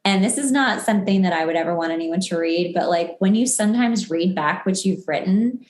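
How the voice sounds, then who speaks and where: A woman's voice: medium pitch, brisk, darkish tone. A woman hosting a casual podcast, chatty and peppering her talk with "like" as she voices her own thoughts. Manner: chatty, uses "like" constantly, acts out inner thoughts. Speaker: podcast host. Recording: roomy, echoey sound.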